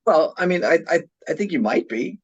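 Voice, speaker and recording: A man's voice: on the high side, animated, a little fast, breathy edge, no echo, muffled recording. A man in casual discussion as a podcast guest, agreeable and restating points to find common ground.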